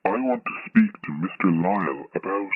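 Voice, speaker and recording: A menacing male stalker comes over a thin phone line, very deep and husky. He talks with slow, deliberate friendliness, like an obsessed fan in a horror radio play. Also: wildly swooping pitch, no room sound, heavily acted. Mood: creepily eager. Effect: telephone filter. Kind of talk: audio horror drama acting.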